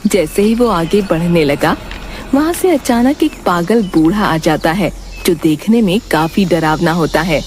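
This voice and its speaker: A woman's voice: on the low side, measured pace. A woman giving scripted narration for a cartoon story. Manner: steady, storytelling. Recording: background music.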